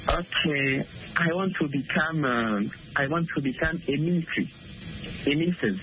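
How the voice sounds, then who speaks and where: A man in his twenties, a student calling in, speaking haltingly with repeated words. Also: on the high side. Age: 20s. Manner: hesitant, halting speech with repeats. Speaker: student caller. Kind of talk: casual chat. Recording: tinny phone line with hiss.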